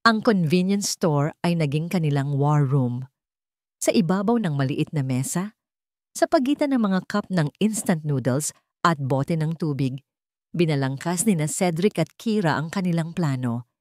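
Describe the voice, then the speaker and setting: A woman's voice: deep voice, expressive, normal speed, a little mellow, dry recording, studio quality. A woman narrating a scripted romantic drama as a storyteller.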